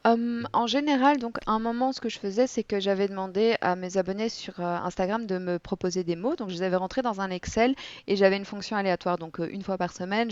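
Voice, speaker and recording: A young woman guest telling stories and explaining her work in a relaxed podcast interview, with animated storytelling. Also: medium pitch, clean tone, dry recording. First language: French. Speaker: guest, board game content creator. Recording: dull, dry lo-fi audio.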